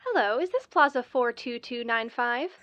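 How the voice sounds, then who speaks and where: A woman's voice: a little high, lively intonation, moderate pace, a bit bright. A woman playing a polite, impersonal telephone clerk in an old radio drama, speaking formally through a telephone filter. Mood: brisk, formal. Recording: dull, band-limited phone-line sound.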